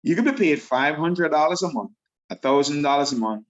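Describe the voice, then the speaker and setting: Fast-talking Jamaican man pitching on a call. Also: animated, highs cut off. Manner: fast-talking, enthusiastic, repeats for emphasis. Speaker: online sales presenter. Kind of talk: persuasive pitch on a video call. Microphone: video call.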